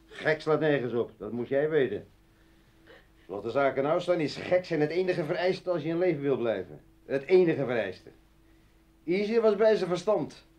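A man's voice: fairly high pitch, animated, normal speed, a little mellow, natural voice. Man acting menacing gunman, bitter, mocking, seething, brooding, radio play delivery. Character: bitter, menacing armed man confronting his former partner.

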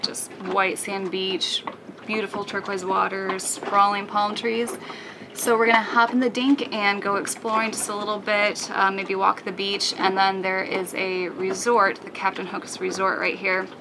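A woman's voice: mid-range voice, flat delivery, unhurried. A woman in her thirties chatting casually and informally to camera, like a travel vlogger. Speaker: vlogger, host. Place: outdoors on boats and waterside decks. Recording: outdoor recording with loud water and boat noise.

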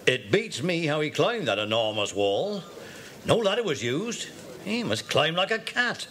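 A man voicing a brisk middle-aged police inspector in an audio drama, who asks questions in a friendly, businesslike way. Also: a little high, big pitch swings, sharp tone, pitched above the performer's own voice, heavily acted.

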